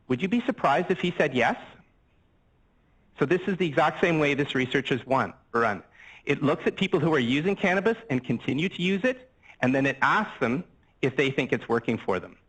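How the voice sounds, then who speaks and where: A man's voice: medium pitch, a bit bright, rough recording. An older Canadian man, a physician lecturing on a microphone, explaining things casually with everyday examples and asides. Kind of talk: conversational medical lecture. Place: lecture room. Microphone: handheld mic, swapped mid-talk.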